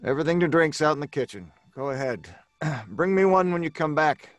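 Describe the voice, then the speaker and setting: A man's voice: a little high, animated, fairly quick, no echo, close to an everyday voice. An actor playing an irritable, frazzled male writer in an old time radio drama, blustering and stammering with exasperation. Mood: exasperated.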